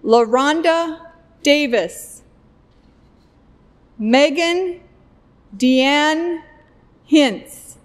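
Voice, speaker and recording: A middle-aged woman at a podium microphone, reading graduates' names one by one in a slow, deliberate way. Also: sits high, long reverb tail. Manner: deliberate, evenly paced name reading at a podium. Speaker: commencement name reader. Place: indoor stage at a podium, recorded for a virtual ceremony.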